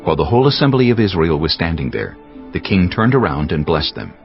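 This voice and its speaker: A man narrating scripture from a script in a measured, formal manner. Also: deep voice, big pitch swings, vocal fry. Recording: slightly dull audio with music bed.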